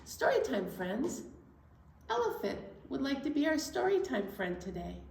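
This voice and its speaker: High-voiced, slow, creaky children's storytime host. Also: female voice, big pitch swings, darkish tone, slight background noise. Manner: playful, sing-song address to small children. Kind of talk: presenting songs and activities to young children. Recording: roomy echo, distant microphone.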